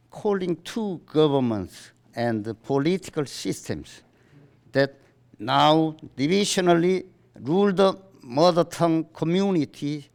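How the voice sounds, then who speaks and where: A man from South Korea with a Korean accent, a native Korean speaker, formally reading out a statement in halting, deliberate short phrases. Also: fairly high pitch, darkish tone. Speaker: writers' association chairman giving a statement. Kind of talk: formal read-aloud statement. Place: press conference in a book salon.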